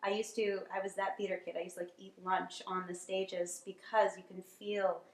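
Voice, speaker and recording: A woman's voice: medium pitch, little pitch movement, normal speed. New York woman, casual sharing, hesitant, laughing, roomy echo. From Staten Island, New York. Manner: laughing, hesitant, many ums. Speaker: workshop participant. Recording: echoey room.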